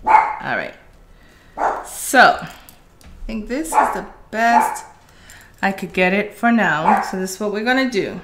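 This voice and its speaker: A woman's voice: medium pitch, animated, takes it slowly, long pauses, heavy background noise. A middle-aged woman chatting casually with viewers as she hosts a live craft tutorial from a room at home. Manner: chatty, addressing viewers as guys while working. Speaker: craft livestream host.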